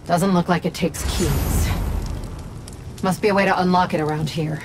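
A woman acting a curt, guarded young vigilante in a video game, with loud music behind her. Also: on the low side, fairly even intonation, normal speed, background noise, lightly acted. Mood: dry, focused. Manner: curt, dry.

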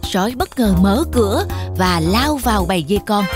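A woman's voice: mid-range voice, expressive, normal speed, loud music behind the voice. A woman reading scripted narration for a children's fairy tale. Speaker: storyteller, narrator.

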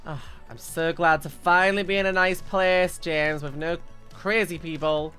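A woman's voice: lowish voice, steady tone, normal speed, fairly bright tone, clear, resonant tone, natural voice. A woman playing a house-proud, caring young mother in an improvised video game family roleplay. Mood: happy, relieved. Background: music.

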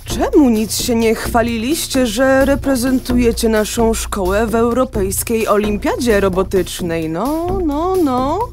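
A woman's voice: a little high, lively intonation, measured pace, few pauses, darkish tone, faint music behind. An actress playing a bustling female class teacher in a radio drama. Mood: pleased, chiding. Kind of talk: scripted radio drama acting.

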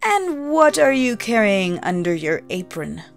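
A woman gives voice to a sly male wolf from a fairy tale, pitched lower than her own voice. He coaxes and wheedles in a lively, drawn-out way. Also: creaky, faint music behind. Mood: coaxing. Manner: coaxing and sly, slow and looming at the end. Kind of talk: storybook reading.

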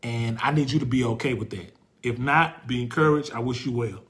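A man in his forties hosting a faith talk, speaking passionately in a preacherly way, repeating phrases for emphasis and pausing to search for words when he turns reflective. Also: medium pitch, a bit bright. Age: 40s. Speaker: host.

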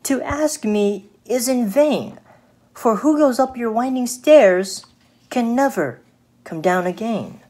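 A woman's voice: a little high, lively intonation, slow pace, mellow, voice pushed up. A woman voicing a little female fly from a children's storybook, polite, dainty and wary. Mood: wary refusal. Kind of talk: children's storybook read aloud.

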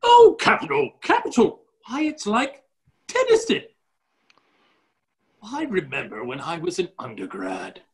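A middle-aged actor playing a genial, rambling, exclamatory upper-class duke in a stage play reading. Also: male voice, high-pitched, big pitch swings, measured pace, long pauses, full character voice. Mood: genially vague. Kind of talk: stage play reading over video call.

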